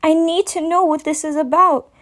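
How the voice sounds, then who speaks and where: A woman's voice: extremely high pitch, steady tone, normal speed, a bit bright, pitched above the performer's own voice. A woman playing a caring young female unicorn in a story reading, earnest and soothing yet firmly insistent. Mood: stern, concerned.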